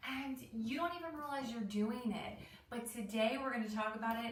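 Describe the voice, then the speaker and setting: A woman teaching young children in a read-aloud lesson, explaining things simply and encouragingly with frequent check-in questions. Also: mid-range voice. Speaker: elementary teacher. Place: indoor room with pet dogs nearby. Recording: roomy echo, distant mic, background noise.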